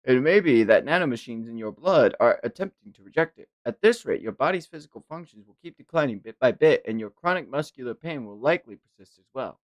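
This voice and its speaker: Male reader as grave manga medic: measured, clinical, explanatory, dry sound. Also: medium pitch, animated, dry recording, close to an everyday voice. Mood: clinical, apologetic.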